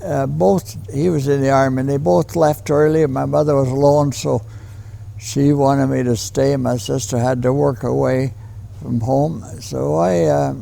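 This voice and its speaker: A hundred-year-old man with a western Canadian accent and a gravelly voice, recalling his life in a halting, plain-spoken, folksy way as a veteran being interviewed. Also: mid-range voice, steady tone, dark tone. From Calgary, western Canada. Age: 100. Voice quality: gravelly, creaky. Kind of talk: personal reminiscence in an interview.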